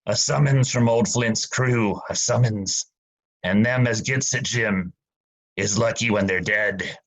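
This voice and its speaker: A man voicing an old drunken pirate captain who talks in gruff sailor dialect and pleads fearfully. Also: on the low side, unhurried, low-quality recording. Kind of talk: student radio play acting.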